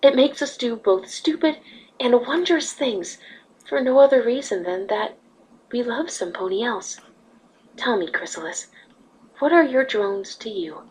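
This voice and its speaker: A woman voicing a wise, motherly pony ruler in a fan fiction reading, speaking patiently and earnestly with a slightly husky voice. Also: on the high side, expressive, unhurried, a little mellow, rough recording. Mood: earnest teaching.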